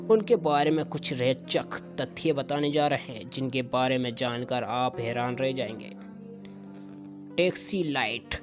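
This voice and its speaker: A man reads a scripted explainer narration in short phrases, stumbling now and then. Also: mid-range voice. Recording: dull lo-fi voice track. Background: music with guitar.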